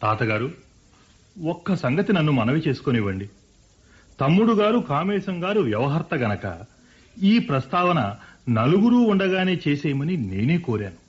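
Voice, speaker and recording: A proud, emotional farmer son-in-law, higher voice, husky, lo-fi radio. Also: male voice, sing-song, normal speed, pauses often. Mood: earnest. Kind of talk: 1970s-style radio play acting. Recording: dull lo-fi radio broadcast.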